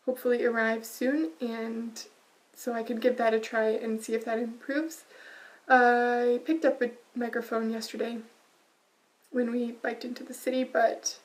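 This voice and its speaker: A quiet-voiced woman hosting a casual knitting podcast, soft-spoken and full of small ums and uhs. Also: a little high, recorded from a distance, poor audio. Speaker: podcast host.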